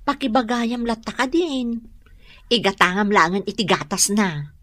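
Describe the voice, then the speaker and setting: A woman playing a pregnant young wife who gently reasons and coaxes, in an Ilocano radio drama. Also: mid-range voice, normal speed, dry recording, close to an everyday voice. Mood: coaxing.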